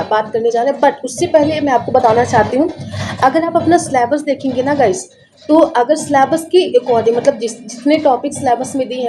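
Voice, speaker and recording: A woman's voice: high voice, noisy background. A North Indian woman teaching an online class in a chatty, informal way, mixing in English and repeating herself, with her voice affected by a sore throat. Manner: chatty, Hindi mixed with English, repeats phrases. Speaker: teacher, online course presenter. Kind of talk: online lecture, informal explaining to students.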